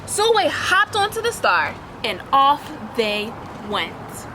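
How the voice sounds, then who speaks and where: A woman with a high, very lively voice reads a children's story aloud in an instructive teacher's way. She addresses young listeners directly and shapes the narration expressively. There is clear background noise, like street ambience. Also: slow. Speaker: teacher reading a picture book aloud.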